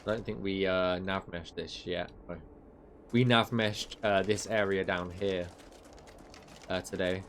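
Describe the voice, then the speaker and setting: Low-voiced man streaming a game build. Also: measured pace, a little hiss or hum. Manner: relaxed, rambling, answers chat, frequent fillers and restarts. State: losing his voice. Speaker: game mod developer streaming. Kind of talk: casual livestream chat while playing.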